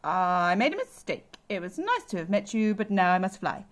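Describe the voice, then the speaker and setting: A woman's voice: mid-range voice, sing-song, normal speed, natural voice. Woman doing a mean hungry storybook dragon. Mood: flustered. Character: mean boastful male dragon, big and hungry.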